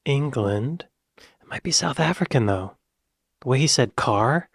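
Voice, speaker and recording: American guy reacting, excitable then muttering, dry close mic. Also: mid-range voice, a little slow, gravelly, dry recording. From United States. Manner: chatty, excitable, drops to muttered asides. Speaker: reaction video host. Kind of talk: casual reaction commentary.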